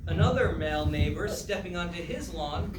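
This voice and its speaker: Higher-voiced man narrating, echoey room. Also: expressive, moderate pace. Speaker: stage-direction narrator. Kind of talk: scripted narration at a live table read. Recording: echoey room, distant mic, clear background noise.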